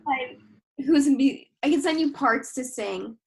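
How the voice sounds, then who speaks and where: A woman's voice: high voice, animated, normal speed, gravelly, breathy edge. A young woman in a casual chat, hesitant and giggly as she thinks aloud. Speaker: co-host.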